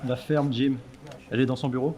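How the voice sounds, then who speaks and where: A man's voice: medium pitch, steady tone, fairly quick, dark tone, lightly acted. A man playing a weary, cynical intelligence agent in a French audio drama, with a dry, sardonic, curt manner. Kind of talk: French audio fiction dialogue.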